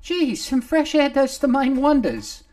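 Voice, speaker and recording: A man doing squeaky, pleading little sidekick. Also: sing-song, unhurried, a little mellow, exaggerated voice. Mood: needling. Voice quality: high squeaky pitch. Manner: wheedling, pleading.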